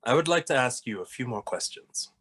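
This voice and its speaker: A man playing a serious, disapproving young detective, the straight-man brother in an animated comedy table read, speaking formally. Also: mid-range voice, brisk, slightly rough. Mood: businesslike. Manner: formal, stern.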